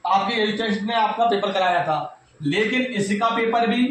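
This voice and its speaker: A man's voice: sits high, a little slow, fairly bright tone. A man with a North Indian accent gives an informal explanatory update to students, repeating his points and addressing listeners directly. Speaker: YouTube exam news presenter. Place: reverberant indoor room. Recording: very echoey room, distant mic, faint noise.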